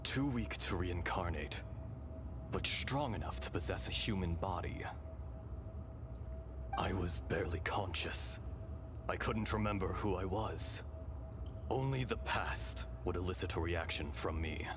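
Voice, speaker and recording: A benevolent remnant of an ancient dragon speaks in a video game. His voice is deep and unhurried, solemn and hushed as he recounts distant memories. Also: music in the background. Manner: solemn, measured, hushed storytelling. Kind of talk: game cutscene dialogue.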